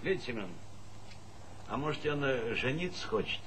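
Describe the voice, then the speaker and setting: An actor playing a middle-aged village boss, paternal yet impatient, in a theatrical comedy style. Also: male voice, mid-range voice, lively intonation, brisk, a bit bright, close to an everyday voice. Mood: placating. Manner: folksy, commanding, repeats himself impatiently. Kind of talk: theatrical comedy stage acting. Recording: noisy 1970s stage play recording.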